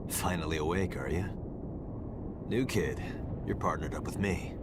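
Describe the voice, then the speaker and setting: A man playing a gruff, hard-bitten squad leader in a video game, with a blunt, cool manner. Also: low voice, unhurried, heavy background noise. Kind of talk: video game cutscene acting.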